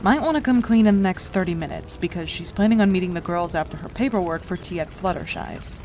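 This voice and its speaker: Woman acting a wry, deadpan cartoon pony, lowish voice. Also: fast talker, a little hiss or hum, low-quality recording. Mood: sarcastic. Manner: deadpan, sarcastic. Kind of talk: animated fan audio drama acting.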